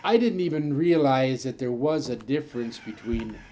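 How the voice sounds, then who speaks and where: An older man from a Norwegian-speaking farm family in the upper Midwest, telling halting, rambling memories with many fillers in an oral history interview. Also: medium pitch, expressive, warm tone, slight background noise.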